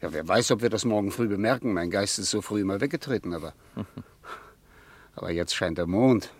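An actor plays a man on a journey, an eager amateur historian in a radio drama. His low voice wanders hesitantly and breaks into its own sentences. The sound is close and dry. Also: fairly quick, no room sound, lightly acted. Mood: wistful, amused. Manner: rambling, hesitant, self-interrupting. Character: rambling, night-owl travelling companion, eager amateur historian.

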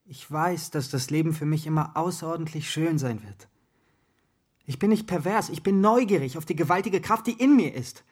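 A man's voice: fairly high pitch, moderate pace, breathy edge. An actor playing an intense, dreamy young man confessing himself with impassioned drama in a radio play. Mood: feverishly elated. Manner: impassioned, dramatic, swinging between hushed and ecstatic. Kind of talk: German radio drama acting.

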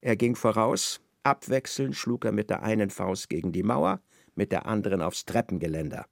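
Male narrator, literary radio drama, animated pitch swings, gravelly creak, dry close sound, expressive. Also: normal speed, no room sound.

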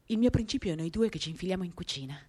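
A woman gives an expressive, lyrical dramatic reading of a first-person literary story, shifting in intensity as she tells it. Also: on the low side, racing, breathy edge. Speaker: reader of a literary work. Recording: acoustic guitar music accompanying the voice. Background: acoustic guitar.

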